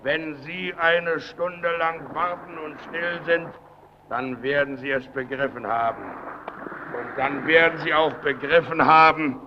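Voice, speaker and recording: An actor playing an anxious, stubborn lighthouse keeper in a German radio play, with a creaky voice. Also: male voice, a little high, steady tone, measured pace, close to an everyday voice. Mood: stern, insistent. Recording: muffled old radio recording, loud noise.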